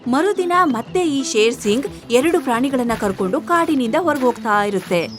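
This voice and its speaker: A woman narrating an animal story in an animated storytelling style. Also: sits high, moderate pace, over music.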